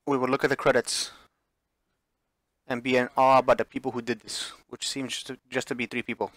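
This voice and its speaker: A man with an average-pitched voice streams a story game. He comments casually and reads the text out loud, tripping over the odd word and fixing it. The sound is very dry, with hardly any room to it. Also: little pitch movement, fairly quick, no room sound, low-quality recording. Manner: stumbles over words and corrects himself when reading. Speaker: streamer playing and narrating a visual novel.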